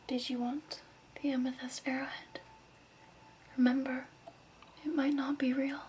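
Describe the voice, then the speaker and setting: A woman speaks in a soft, breathy whisper, playing a gentle shopkeeper in an ASMR roleplay. Her delivery is measured and extremely even, with a slightly high pitch where she voices it. Faint noise and ambience sit behind her. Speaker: ASMR roleplay host as crystal shop keeper.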